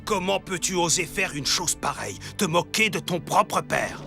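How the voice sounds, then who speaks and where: An actor playing an indignant, dutiful son with a reproachful, dramatic manner in a biblical cartoon dub. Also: male voice, high-pitched, lively intonation, moderate pace, vocal fry, over music. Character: indignant dutiful son defending his father. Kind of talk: dubbed biblical cartoon acting.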